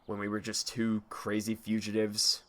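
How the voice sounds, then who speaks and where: A man playing a cocky, low-voiced young rogue. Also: completely flat delivery, normal speed, close to an everyday voice. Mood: teasing. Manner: wry, glib, teasing. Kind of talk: audio drama acting.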